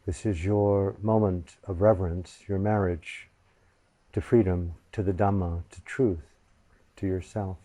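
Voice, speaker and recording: A man guiding a meditation in a soft, reverent voice, pausing often between phrases. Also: low-pitched, steady tone, dry recording. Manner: soft, reverent, unhurried, with long pauses. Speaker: meditation teacher. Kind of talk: guided meditation.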